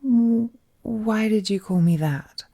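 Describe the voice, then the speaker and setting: A woman playing an ancient, all-powerful female captor, possessive and lovesick, in an intimate villainous audio roleplay with a hushed, menacingly tender delivery. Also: mid-range voice, slow. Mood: startled, suspicious. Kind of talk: scripted audio roleplay, intimate dramatic monologue.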